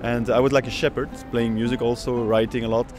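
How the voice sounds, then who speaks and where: A man's voice: mid-range voice, level tone, fast talker. Reflective man in his thirties over music. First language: Dutch. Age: 30s. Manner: thoughtful, searching for words with many fillers. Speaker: oud player. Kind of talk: reflective documentary interview. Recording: music playing behind.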